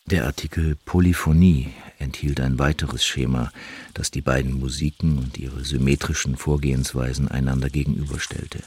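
Very deep solemn man reading. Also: steady tone, moderate pace, a little mellow, breathy edge. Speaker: narrator reading quoted texts. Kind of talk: scripted literary reading.

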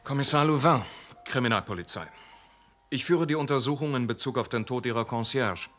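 An actor playing a methodical police inspector who calmly and patiently questions witnesses in a radio drama. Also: male voice, mid-range voice, brisk, lightly acted.

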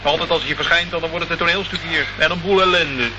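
An actor playing an exasperated police officer in a radio play, accusing and needling. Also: male voice, a little high, fairly even intonation, quick pace, close to an everyday voice. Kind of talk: Dutch radio play acting. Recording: muffled, noisy old radio recording.

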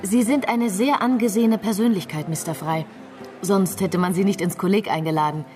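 A woman's voice: mid-range voice, moderate pace, darkish tone, lightly acted. An actress plays a provocative woman journalist in a radio drama. Her mid-range voice presses with pointed questions, over faint music. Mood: probing. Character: small, probing, provocative female journalist. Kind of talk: radio crime drama acting.

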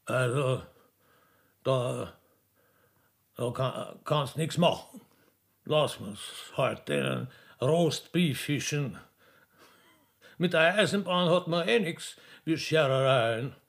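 Sentimental, grandiose Austrian emperor. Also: male voice, mid-range voice, expressive, slow, gravelly, long pauses, fairly bright tone, full character voice. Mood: resigned. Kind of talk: theatrical comic radio play acting.

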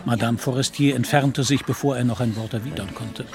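Man as measured radio-play narrator over music. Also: medium pitch, steady tone, creaky, lightly acted. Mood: composed. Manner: measured storytelling. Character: composed literary narrator of a society novel.

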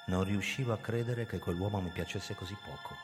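Actor as a proud, low-voiced veteran police commissioner. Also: male voice, fairly quick, natural voice. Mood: grave, troubled. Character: proud, guarded veteran police commissioner narrating his story. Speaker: actor narrating and playing the lead. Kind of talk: live radio drama reading with music. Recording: reverberant hall with music behind. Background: music.

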